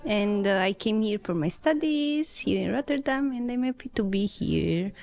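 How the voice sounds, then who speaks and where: A woman, a psychology student reflecting calmly as a podcast guest. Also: medium pitch, normal speed, creaky, soft background music. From Italy. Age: 20s. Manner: calm, reflective, some fillers.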